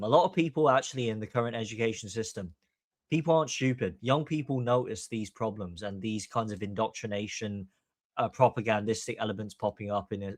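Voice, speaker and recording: A man's voice: fairly low pitch, no echo. A man from Hong Kong hosting a podcast, giving opinionated commentary in an emphatic, long-winded way. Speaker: podcast host.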